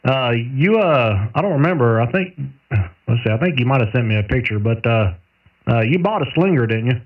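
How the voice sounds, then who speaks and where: A man with a Southern US accent chatting casually over two-way radio, in a folksy, unhurried storytelling way. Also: mid-range voice, expressive. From southern United States. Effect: two-way radio transmission. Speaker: amateur radio operator, radio technician. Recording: narrow tinny single-sideband CB radio, distant.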